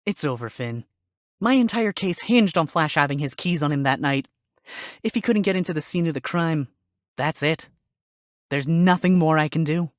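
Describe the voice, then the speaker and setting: A man voicing a sly but desperate fox defense lawyer in a fan audiobook reading, swinging from quick retorts to deflated mumbling. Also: fairly high pitch, expressive, no room sound, clean studio sound, natural voice. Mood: defeated.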